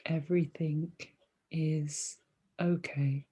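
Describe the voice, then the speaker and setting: A woman leading a guided meditation as a teacher, speaking softly and soothingly in short phrases with long pauses between them. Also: sits low, little pitch movement, slightly rough. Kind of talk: guided meditation, body scan.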